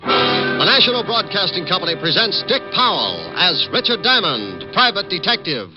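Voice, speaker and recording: A man announcing a 1950s radio drama in a booming, formal style. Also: very animated, moderate pace, highs cut off. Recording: old radio over loud brass music.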